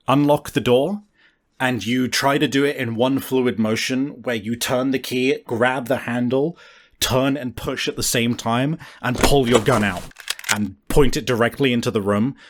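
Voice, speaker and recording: A man acts as game master for a tabletop roleplaying game. He improvises his narration casually and swears freely as he describes scenes to his player. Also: medium pitch.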